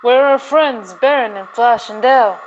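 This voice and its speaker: A woman's voice: on the high side, moderate pace, lo-fi sound, a little hiss or hum, natural voice. A young woman playing a bearded lion-man prince in an old-time radio serial, speaking in formal, archaic phrasing. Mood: solemn. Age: teens to young adult. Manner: archaic, formal thee-and-thou speech. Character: powerful, huge-bearded male prince of the Lion Men.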